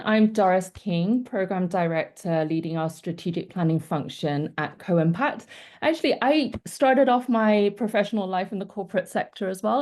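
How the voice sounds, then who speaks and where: Composed woman with a lowish voice. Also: a little mellow. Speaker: panelist, program director. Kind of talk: self-introduction.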